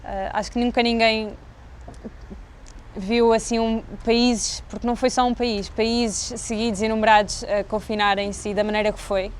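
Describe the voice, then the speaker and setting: A woman's voice: on the high side, little pitch movement, normal speed, a little hiss or hum. A woman in her twenties with a European Portuguese accent who gives thoughtful interview answers and searches for her words. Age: 20s.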